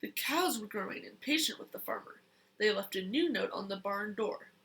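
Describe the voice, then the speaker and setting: A young child reading a picture book aloud in a deliberate, expressive way. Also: medium pitch, creaky. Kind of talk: storybook read-aloud.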